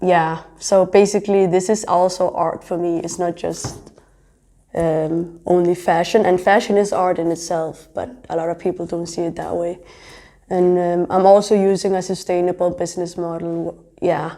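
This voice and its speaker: Mellow, dark-voiced young woman from Denmark. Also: on the low side, flat delivery, moderate pace. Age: young adult. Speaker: interviewee, fashion designer and entrepreneur. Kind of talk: casual interview talk.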